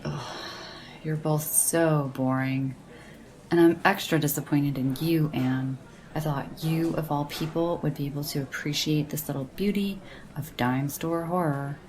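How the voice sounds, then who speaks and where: An actress playing a sardonic, deadpan young woman in casual audio drama banter, with a gravelly creak and a dry, teasing delivery. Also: low voice, measured pace, poor audio. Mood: teasing, mock disappointed. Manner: dry, teasing, slangy, unimpressed. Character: deadpan, sardonic young woman who loves horror paperbacks.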